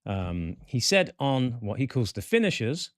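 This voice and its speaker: A man hosting a football fan show, reading out match stats and player ratings with chatty asides in a croaky voice. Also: mid-range voice, big pitch swings, a little slow, dry recording, clean studio sound. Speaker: football podcast host. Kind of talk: casual fan-show commentary.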